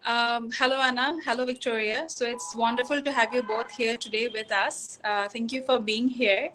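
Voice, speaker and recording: Female interview host, fairly high voice, hesitant with many fillers. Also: fairly even intonation, normal speed, fairly bright tone, a little hiss or hum, low-quality recording. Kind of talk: hosting a webinar interview.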